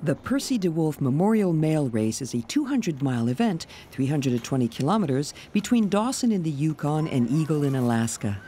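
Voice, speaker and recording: Lively male documentary narrator. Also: on the high side, a little fast, few pauses, a little hiss or hum. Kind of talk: scripted narration. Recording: voice-over with loud music behind.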